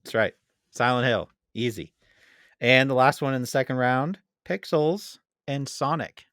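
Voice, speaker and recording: A man's voice: medium pitch, a little slow, a bit bright, dry recording, clean studio sound. A man co-hosting a podcast in casual debate, easygoing as he prompts his partner. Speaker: podcast co-host.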